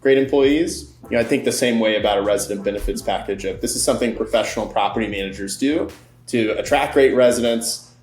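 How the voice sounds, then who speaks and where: A man's voice: fairly low pitch, fast, darkish tone, roomy sound. A man answering as a company guest in an interview, friendly and fluent with frequent fillers.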